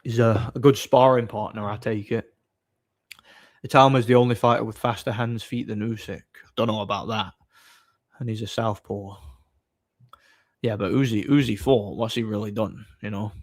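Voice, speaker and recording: A man hosting a livestream, chatting casually and off the cuff in a blunt, opinionated, somewhat halting way. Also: on the low side, steady tone. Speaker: livestream host. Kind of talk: casual off-the-cuff chat, answering viewer comments.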